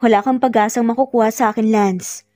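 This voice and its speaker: A woman's voice: on the high side, steady tone, fairly quick, warm tone, no echo, soft background music, lightly acted. A woman voicing a hurt, guarded young ex-girlfriend who speaks with wounded reproach in a romance story.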